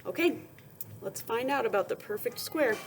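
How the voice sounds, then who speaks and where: A woman's voice: a little high, background noise. A woman reading a picture book aloud to young children like a teacher, expressively, with questions and asides. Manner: expressive storytelling, pausing to ask questions and answer softly.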